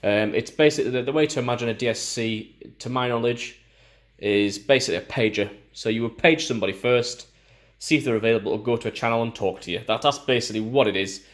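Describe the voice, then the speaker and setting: A man giving a casual, hands-on product walkthrough, explaining informally and a bit haltingly as he demonstrates. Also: medium pitch. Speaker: gear reviewer.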